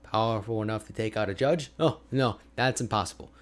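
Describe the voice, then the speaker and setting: A man playing an energetic, friendly young ice mage mentor in an ASMR roleplay, talking to the listener in an animated, informal, chatty way. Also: on the low side, fairly quick, close to an everyday voice. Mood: encouraging. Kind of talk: ASMR roleplay audio drama, addressing the listener.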